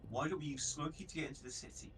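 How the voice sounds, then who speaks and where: A man playing a tabletop role-playing game, reasoning through plans in character in a deliberate way. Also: fairly high pitch, fairly bright tone, distant mic, slight background noise, poor audio. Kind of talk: in-character planning talk.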